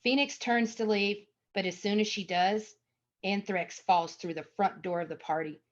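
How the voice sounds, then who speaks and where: Woman to narrate screenplay directions, medium pitch, on somewhat dull audio. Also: normal speed. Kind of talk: scripted narration.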